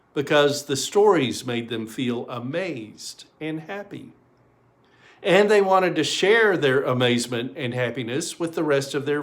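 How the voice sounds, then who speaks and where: Guy reading a kids story, kinda high voice, really unhurried, expressive w pauses. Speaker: reverend reading a story aloud. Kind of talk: children's storytelling, read aloud.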